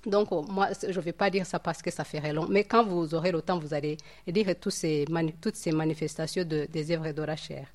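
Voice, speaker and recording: A woman's voice: sits low, fairly even intonation, normal speed, few pauses, a little mellow. Hesitant Rwandan-born woman teaching scripture. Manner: hesitant, many fillers and repeated words. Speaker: Bible study leader. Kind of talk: informal Bible teaching.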